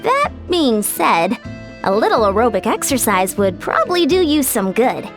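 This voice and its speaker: High lively coach lady, teasing but sensible, game music clearly under. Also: moderate pace. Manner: playful teasing, then earnest explaining. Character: upbeat fitness coach woman, teasing, sensible and friendly. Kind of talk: video game cutscene dialogue.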